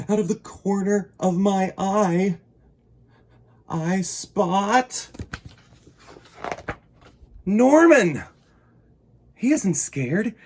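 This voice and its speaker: A man reading a storybook aloud as a young boy who is wary and then fond of his pet, with a husky voice and an expressive, dramatic delivery. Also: high voice, pitched above the performer's own voice, exaggerated voice. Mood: startled, excited. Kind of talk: animated storybook read-aloud.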